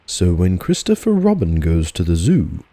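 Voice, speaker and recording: Male audiobook narrator, low voice, lively intonation, relaxed storybook reading, close dry sound. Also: moderate pace, no room sound.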